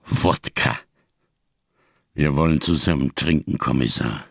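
Huge old solemn fugitive in a radio play, super low n slow, careful fussy wording, husky. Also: male voice, dry recording, studio quality, full character voice. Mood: solemn, wry. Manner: ponderous, over-careful, elaborate diction. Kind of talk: German radio crime drama acting.

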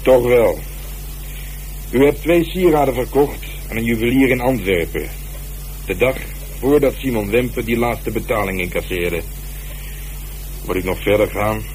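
An actor playing a methodical police detective in a radio drama, talking in a measured, colloquial, dryly ironic way. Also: male voice, mid-range voice, a little mellow, close to an everyday voice. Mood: coolly insistent. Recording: noisy radio play recording, heavy background noise.

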